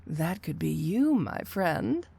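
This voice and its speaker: A woman's voice: lowish voice, big pitch swings, creaky, mellow, breathy edge, heavily acted. A woman playing a flirty, teasing supervillain cat burglar in an ASMR roleplay audio drama, with a coy, seductive delivery. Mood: coaxing, tempting. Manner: coy, teasing, drawn-out delivery with rhetorical tag questions.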